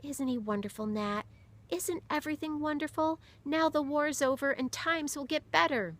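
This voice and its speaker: A woman voicing an excitable, wide-eyed young girl who gushes in a read-aloud story. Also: high voice, moderate pace, pitched above the performer's own voice. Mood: excited.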